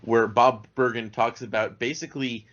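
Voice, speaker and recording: Guy guesting on a podcast, average pitch, nerdy fan chat, bit muffled recording. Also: fairly even intonation, normal speed, fairly bright tone. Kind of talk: casual, knowledgeable fan chat. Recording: dull lo-fi voice recording.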